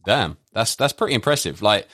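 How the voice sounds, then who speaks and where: A man hosting a podcast interview, upbeat and lively as he asks questions. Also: fairly low pitch, moderate pace, no echo, studio quality. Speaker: podcast host.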